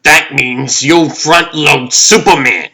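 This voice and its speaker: A man acts out a bossy, middle-aged newspaper chief for a comic dub. His voice is gruff and husky with a hard, forceful edge, and he hands down orders at a deliberate pace. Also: on the high side, heavily acted. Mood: irritated, demanding.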